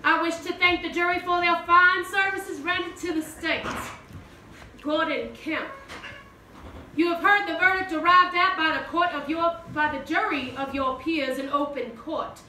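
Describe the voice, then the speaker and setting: A stern courtroom judge played by a woman makes formal, commanding pronouncements in stage-play style. The voice is very high and carries from a distance in an echoey theater. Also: fairly even intonation, a little slow, bright, forceful tone, slight background noise, full character voice. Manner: formal, declamatory.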